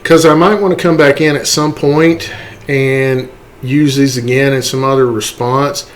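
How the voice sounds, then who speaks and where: Faint ambient noise sits behind a man's mid-pitched voice. He talks a viewer through software in a casual way, one step at a time. He often stops to check in, like an informal presenter recording his screen. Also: fairly even intonation, unhurried. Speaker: tutorial presenter. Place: indoors, voice-over for a screen recording.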